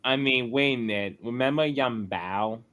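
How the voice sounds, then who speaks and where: A man's voice: medium pitch, moderate pace, fairly bright tone. A man in a casual group chat, talking in a blunt, opinionated way. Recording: faint music behind the voice.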